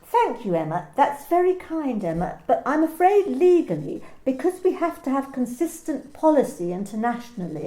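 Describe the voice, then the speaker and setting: An actress playing a smooth, coolly polite corporate manager who talks in bland, bureaucratic phrases. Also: female voice, high voice, dark tone, echoey room. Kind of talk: amateur radio play reading.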